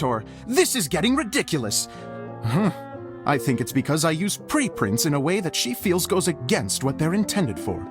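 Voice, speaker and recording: An actor playing an eager, theatrical, self-styled stage director in a video game, effusive and chatty. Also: male voice, on the high side. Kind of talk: video game cutscene acting.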